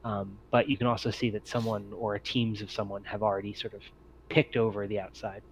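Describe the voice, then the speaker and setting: A man acting as game master in an online tabletop game, narrating scenes in a halting, improvised way. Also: fairly low pitch, level tone, creaky. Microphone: online voice call. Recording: muffled, noisy online voice chat.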